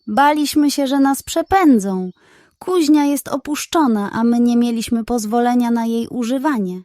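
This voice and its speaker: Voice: mid-range voice, moderate pace, darkish tone, no echo, clean studio sound, lightly acted. A woman voicing an anxious, apologetic male porcupine explaining himself in a children's bedtime story. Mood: sheepish fear. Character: sheepish, anxious male porcupine, father of large family.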